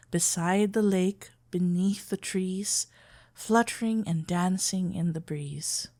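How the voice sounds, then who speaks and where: Woman playing a flustered florist best friend, slow pace, mid-pitched voice, halting, tender, lovestruck, intimate ASMR roleplay. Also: a little mellow. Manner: halting, stammering, awkwardly earnest. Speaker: audio roleplay performer.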